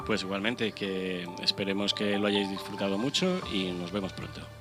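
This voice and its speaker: Male panelist, Spain accent. Fairly low voice, halting casual delivery with repetitions, music clearly audible behind. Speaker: radio panelist. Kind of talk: casual radio discussion.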